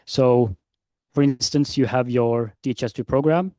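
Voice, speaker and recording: A man presenting at a conference, answering questions in a measured, hesitant way. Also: mid-range voice, mellow, no room sound. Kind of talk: conference Q&A explanation. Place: conference session room.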